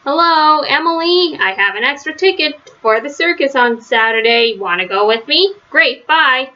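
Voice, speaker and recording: A woman's voice: high-pitched, animated, normal speed, sharp tone, lo-fi sound, voice pushed up. A woman voicing a bratty, scheming little girl in a storybook, with a teasing, whiny, dramatic delivery. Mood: smug teasing.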